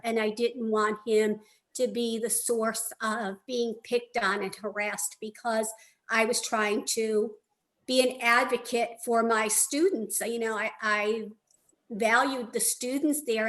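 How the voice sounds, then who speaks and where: Woman testifying, higher-pitched flat voice, earnest, halting. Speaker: former school staff member testifying. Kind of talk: public testimony.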